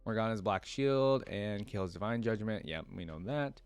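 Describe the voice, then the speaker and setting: A man's voice: fairly low pitch, animated, moderate pace, smooth, no echo. A man hosting a reaction video, reading aloud and commenting in an informal, fan-like way.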